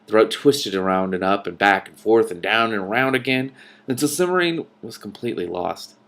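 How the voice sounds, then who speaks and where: A man with a fairly low voice reads fiction aloud as a host. He shifts between narration and casual, exclamatory reactions in an animated manner. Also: normal speed. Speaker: host reading a novel aloud with commentary.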